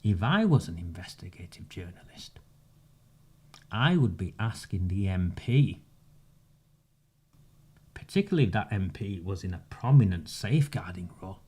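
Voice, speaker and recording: A man, delivering a measured, sarcastic campaigning monologue with emphatic pauses. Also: deep voice, very animated, dark tone, breathy edge. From northern England. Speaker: community campaigner. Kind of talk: direct-to-camera monologue.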